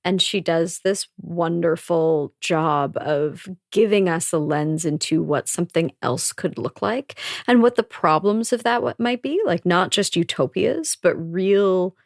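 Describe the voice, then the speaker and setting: A woman's voice: lowish voice, unhurried, hardly any pauses, no room sound, clean studio sound. A woman co-hosting a book podcast, talking with eager enthusiasm and casual swearing. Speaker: podcast co-host.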